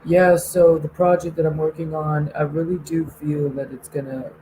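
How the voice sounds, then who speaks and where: A woman's voice: deep voice, level tone, normal speed, dark tone, a little hiss or hum, lightly acted, low-quality recording. A woman putting on a fake, overly cheerful male video host in a mocking singsong.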